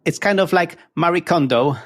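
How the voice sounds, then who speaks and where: A man's voice: a little high, moderate pace. A man from Poland with a Polish accent, a guest on a podcast, explaining ideas eagerly with examples. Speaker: guest, app founder. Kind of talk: casual interview chat.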